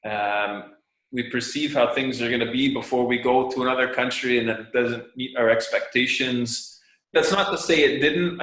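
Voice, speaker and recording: A man's voice: medium pitch, fairly bright tone. Young Canadian man, interview guest, rambling and thoughtful with lots of ums, roomy echo on the voice. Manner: thoughtful, rambling with many fillers and restarts. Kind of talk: casual interview talk.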